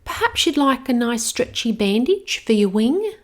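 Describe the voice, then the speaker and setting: A woman voicing a gentle, caring little girl with a soft high voice. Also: very animated, normal speed.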